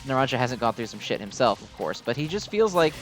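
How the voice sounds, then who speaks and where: A man's voice: medium pitch, sing-song, fast talker, faint music behind. A man giving casual, rambling gameplay commentary with a fan's enthusiasm and some mild swearing. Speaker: gamer commenting on own gameplay.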